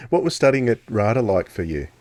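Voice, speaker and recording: A man's voice: on the low side, big pitch swings, brisk, a little mellow, no room sound. A man hosting a podcast, asking questions in a conversational interview style.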